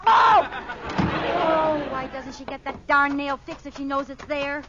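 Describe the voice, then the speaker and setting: An actress playing a flustered, scheming young housewife in theatrical old-time radio comedy style. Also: female voice, high-pitched, measured pace. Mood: frantic, exasperated. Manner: animated, theatrical, exclamatory. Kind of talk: 1940s-50s radio comedy acting. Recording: dull, noisy old radio recording.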